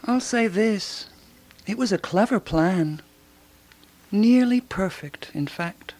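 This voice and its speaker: A woman's voice: mid-range voice, expressive, slow pace, darkish tone. A woman actor playing a self-assured, self-important woman in a radio drama, speaking with grand deliberation.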